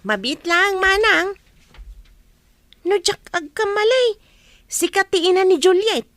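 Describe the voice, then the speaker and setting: Radio drama villager: indignant, chatty, high voice, very close dry sound. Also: female voice, slow, dry recording, full character voice. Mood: surprised, prying. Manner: animated, exclaiming, questioning. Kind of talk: Ilocano radio drama acting.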